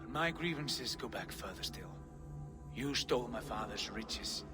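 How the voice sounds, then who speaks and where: A grave Norse chieftain. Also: male voice, on the low side, lively intonation. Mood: stern. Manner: grave, measured, formal. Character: stern Norse chieftain man, grave and aggrieved. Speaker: older brother and settlement leader. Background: music.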